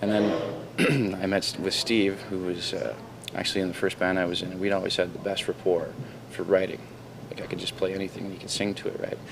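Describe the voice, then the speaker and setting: A Canadian man, a guitarist who founded his band, answering interview questions in a confident, rambling way and clearing his throat now and then. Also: sits low, level tone, fairly quick, few pauses. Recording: raw camcorder audio with noticeable noise.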